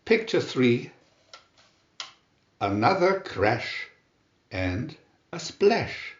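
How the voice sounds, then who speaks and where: Man, children's story narration, low-pitched, lingering delivery, expressive, dull roomy recording. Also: extremely slow. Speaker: storybook reader.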